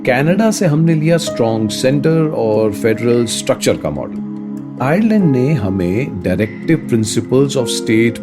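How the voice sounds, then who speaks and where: A man playing a thoughtful political science professor in a radio play, explaining things expressively and emphatically. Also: mid-range voice. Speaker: political science teacher character. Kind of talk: radio play dialogue, Hindi mixed with English.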